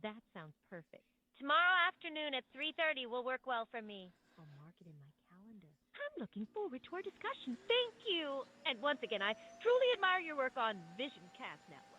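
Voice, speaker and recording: A woman's voice: sits high, very animated, brisk, bright, forceful tone. A woman speaking in a polished, formal phone manner, effusive, diplomatic and courteous, like a school principal. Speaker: AI prank caller posing as school principal. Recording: dull lo-fi phone call playback.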